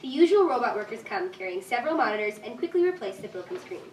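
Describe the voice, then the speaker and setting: A woman's voice: a little high, very animated, fast. Woman narrator in an echoey room. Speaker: narrator reading stage directions. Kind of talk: scripted narration at a staged reading. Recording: distant echoey room, faint noise.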